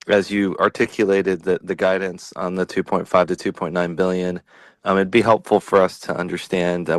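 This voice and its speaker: Very low-voiced male analyst, dry sound. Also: dry recording. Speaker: financial analyst asking questions. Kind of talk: earnings call questions.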